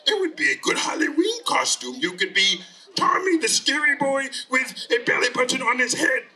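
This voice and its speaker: Casting: storyteller as a grumpy, coaxing storybook monster, very lively delivery, bright tone, off mic. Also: sits high, moderate pace, distant mic, voice pushed up, full character voice. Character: belly button monster, flustered, wheedling, grumpy thief. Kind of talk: live children's storytelling.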